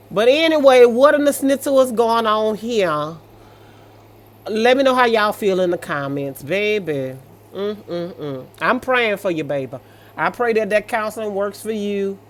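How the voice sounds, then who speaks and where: A middle-aged woman, reading stories and reacting in a casual, sassy, motherly, colloquial way. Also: lowish voice. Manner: motherly, sassy, colloquial, addressing listener as baby. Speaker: host of a story-reaction show.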